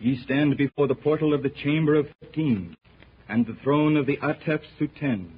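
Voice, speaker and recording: Solemn husky-voiced temple warrior. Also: male voice, fairly high pitch, normal speed. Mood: ceremonial, commanding. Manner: archaic, formal, declaiming. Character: solemn male leader of temple warriors, loyal and ceremonious. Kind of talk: 1930s radio serial acting. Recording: muffled old 1930s radio recording.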